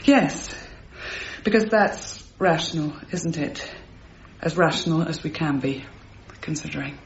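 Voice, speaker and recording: An actress playing a middle-aged grieving mother, brittle, wry and halting, in a naturalistic radio drama. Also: low voice. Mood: controlled. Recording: muffled, faintly noisy radio broadcast recording.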